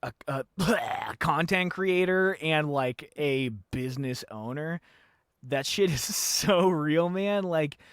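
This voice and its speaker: A man's voice: mid-range voice, fairly bright tone, clear, resonant tone, no room sound, clean studio sound. A man in his thirties hosting a podcast, chatting casually, laughing often and swearing freely. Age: 30s. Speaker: podcast host. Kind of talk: casual chat.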